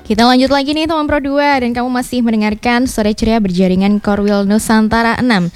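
A woman radio announcer introducing the next segment in a brisk, friendly way. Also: a little high, fairly even intonation, clear, resonant tone, no echo, faint music behind.

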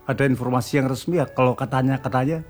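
A man with a Javanese accent co-hosting a casual radio chat, upbeat and agreeable, quick to affirm. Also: mid-range voice, a little mellow, soft background music. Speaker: radio co-host. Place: radio studio.

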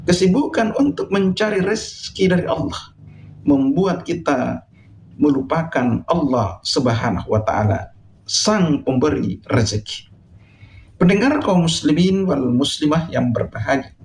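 Echoey, higher-voiced male preacher. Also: a little mellow, slight background noise. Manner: solemn, exhorting, deliberate with emphatic phrasing. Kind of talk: sermon addressed to listeners. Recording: echoey, reverberant sound.

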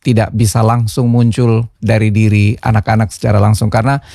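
Kupang guy hosting a radio talk show, lowish mellow voice, measured and steady. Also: vocal fry, dry recording, clean studio sound. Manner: measured, affirming with frequent backchannels.

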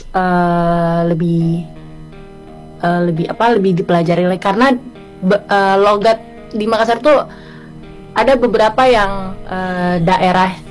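A woman's voice: lowish voice, steady tone, measured pace, pauses often, soft background music. A woman acting in a film, giving hesitant radio interview answers with many fillers. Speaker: film actress, guest.

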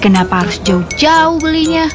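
A woman's voice: high voice, expressive, moderate pace. A woman voicing an earnest young patriot in a scripted radio public service ad, preachy and spirited. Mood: proud, earnest. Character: earnest young patriot proud of local products. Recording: music and clinking behind the voice.

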